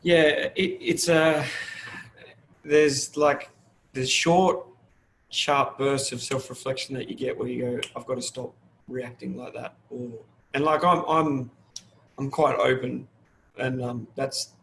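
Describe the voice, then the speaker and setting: Man, middle-aged, gravelly, faint background noise, casual interview talk. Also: mid-range voice, steady tone, takes it slowly, fairly bright tone, poor audio. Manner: open, rambling, many restarts. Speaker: fire service district manager.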